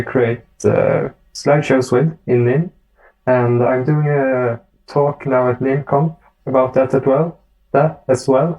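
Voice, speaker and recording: A man's voice: medium pitch, warm tone, distant mic, low-quality recording. A young man introducing himself at a conference, earnest and hesitant with fillers. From Sweden. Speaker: conference co-presenter. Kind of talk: conference talk. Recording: voice a bit off-mic.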